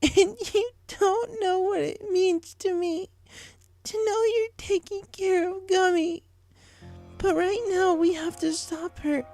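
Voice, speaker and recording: A woman's voice: very high voice, fairly even intonation, slow pace, darkish tone, dry recording, soft background music, voice pushed up, exaggerated voice. A woman voicing a tearful, guilt-ridden young female pony in an emotional, pleading story performance. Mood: tearful, remorseful. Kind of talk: dramatic audio fiction reading.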